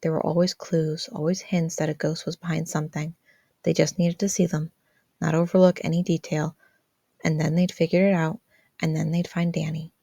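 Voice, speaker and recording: A woman's voice: sits low, flat delivery, moderate pace, gravelly, warm tone, no echo. A woman reading scripted fan fiction narration as a podfic narrator.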